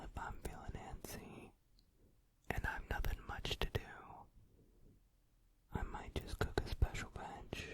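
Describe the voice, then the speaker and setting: A performer whispering the part of a cruel, gambling male boogeyman who gloats in singsong. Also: a bit bright. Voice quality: whispered, unvoiced. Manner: mocking, singsong. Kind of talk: whispered song cover, ASMR.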